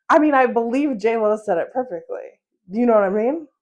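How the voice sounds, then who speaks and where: Young american woman, solo podcast host, casual chatty monologue, lively up-and-down delivery, laughs often. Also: on the high side, moderate pace. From United States. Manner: chatty, animated, with frequent laughs and fillers.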